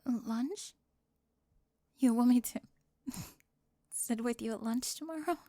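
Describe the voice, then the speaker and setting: Flustered teen cheerleader bully, played by a woman, high voice, stammering into breathy whispers, close dry sound, ASMR romance roleplay. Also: slow pace, mellow, dry recording. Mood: flustered, bossy. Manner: breathy half-whispers, stammering, nervous giggles. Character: flustered teenage cheerleader bully confessing a secret crush.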